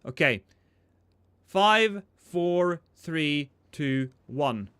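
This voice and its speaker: A man's voice: a little high, expressive, very slow, noisy background. A man, chatting casually and joking with his chat while streaming a game. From Norway.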